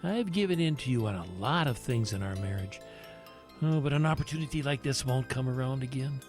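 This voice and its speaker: An actor playing a scheming, greedy travel agent husband in an audio drama, stammering and over-innocent. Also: male voice, mid-range voice, brisk, faint music behind, natural voice.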